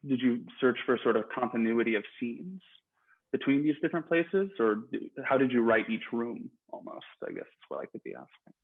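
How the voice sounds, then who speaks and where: A man's voice: mid-range voice, slightly rough. A man's voice comes through thin, phone-like call audio. He is moderating a discussion and talks in a thoughtful, hesitant way, feeling his way toward each question.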